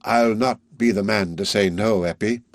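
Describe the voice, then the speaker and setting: A quiet old countryman, a lonely weaver, speaks softly and slowly, low and careful, as a male narrator plays him. The voice sits close and dry. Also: dry recording, close to an everyday voice. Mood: subdued. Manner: subdued, slow, tender. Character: old lonely village weaver, gentle father.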